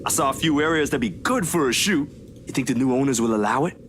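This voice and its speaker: A woman's voice: rumbling low, fast, noisy background, pitched below the performer's own voice. A woman voicing an easygoing, reassuring young man in a casual, teasing way, in a visual novel. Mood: relaxed, reassuring.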